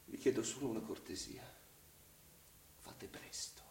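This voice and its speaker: A man's voice: fairly low pitch, unhurried, gravelly, darkish tone. Obsessive lovelorn man, echoing, far off. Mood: defeated pleading. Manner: intense, imploring, formal address. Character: obsessive lovelorn man, desperate, pleading, guilt-stained seducer. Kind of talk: theatrical radio drama acting. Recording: roomy, distant, noisy stage-like radio recording.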